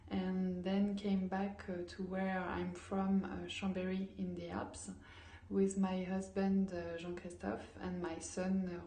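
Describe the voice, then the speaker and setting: A woman's voice: medium pitch, dark tone. Hesitant woman from France, echoey room. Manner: hesitant, many fillers. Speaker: former exchange student. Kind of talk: personal testimonial.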